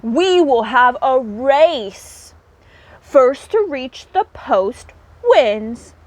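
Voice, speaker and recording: A woman's voice: sits high, sing-song, very slow, no echo, full character voice. A woman voicing a firm, bossy badger in a storybook read-aloud, with a commanding manner.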